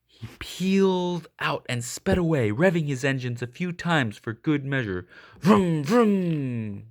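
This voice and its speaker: A man reading a children's picture book aloud expressively, leaning on key words with deliberate, dramatic emphasis. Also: on the high side, expressive. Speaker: storybook reader.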